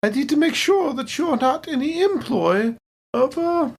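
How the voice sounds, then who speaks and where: A woman playing a suspicious, smooth-talking female space station agent with arch, hesitant politeness in a tabletop game. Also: high-pitched, lively intonation, a little slow, heavily acted. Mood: politely menacing. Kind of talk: improvised tabletop roleplay.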